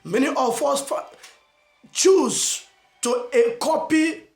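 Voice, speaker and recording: A Nigerian man giving an emphatic motivational message as a channel host, asking rhetorical questions and stressing phrases slowly. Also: very high-pitched, gravelly, a little mellow, echoey room, soft background music. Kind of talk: motivational preaching to camera.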